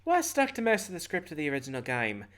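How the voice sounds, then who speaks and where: A man's voice: a little high, wildly swooping pitch, quick pace, a bit bright, a little hiss or hum, voice pushed up. A man playing a laid-back, joking female prankster in a game fan fiction, with a nonchalant, wry manner. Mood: casual explaining.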